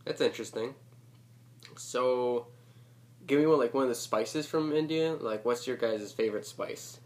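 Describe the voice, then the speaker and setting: A man's voice: mid-range voice, normal speed. A man talking casually and crudely, full of profanity, as he hosts his own prank call video. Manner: crude, joking, rambling. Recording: noisy homemade call recording.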